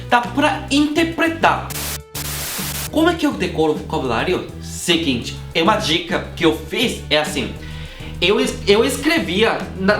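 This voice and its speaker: A man's voice: high voice, a bit bright. A young Korean man speaking with a Korean accent, a YouTuber giving casual, playful tips and acting out little scenes with slang. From South Korea. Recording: roomy room with background music.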